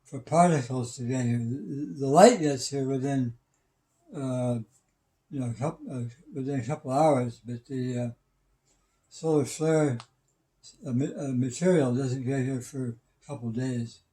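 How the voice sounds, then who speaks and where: A man in his seventies talks with a mellow, relaxed voice at a middling pitch. He explains things casually and plainly, often stammering and halting as he searches for the next phrase. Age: 70s. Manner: halting, stammering, matter-of-fact. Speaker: retired systems engineer and radio hobbyist.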